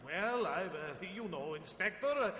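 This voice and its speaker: A man's voice: high-pitched, expressive, a little slow, sharp tone, a little hiss or hum, exaggerated voice. An actor playing a nervous, evasive restaurant man, stammering and deferential, in an old-time radio drama.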